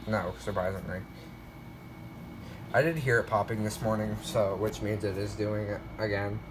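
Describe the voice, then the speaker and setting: A man's voice: lowish voice, fairly even intonation, normal speed, noisy background. A man giving a casual show-and-tell narration in a hesitant, rambling way, often correcting himself. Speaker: video creator showing a weather radio collection.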